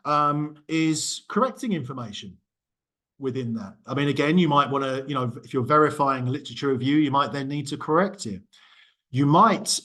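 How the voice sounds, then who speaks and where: A man's voice: mid-range voice, fairly bright tone. A middle-aged man lecturing in a casual group discussion, talking loosely with frequent ums and self-corrections. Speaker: university lecturer. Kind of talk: small group discussion talk.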